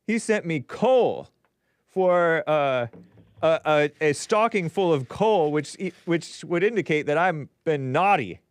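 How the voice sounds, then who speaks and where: A man's voice: fairly high pitch, expressive, slow pace, clear, resonant tone, dry recording, studio quality. A man hosting a call-in show, laid-back and laughing easily. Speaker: host. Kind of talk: casual call-in talk.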